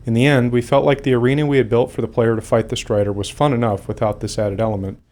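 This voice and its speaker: Man, average pitch, game developer, scripted explanation. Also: steady tone, quick pace, darkish tone.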